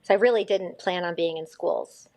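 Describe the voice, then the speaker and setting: A woman's voice: on the low side, normal speed, a little mellow. A woman in her fifties from Connecticut, a school psychologist chatting as a podcast guest, rambling and correcting herself as she goes. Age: 50s. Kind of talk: casual podcast interview.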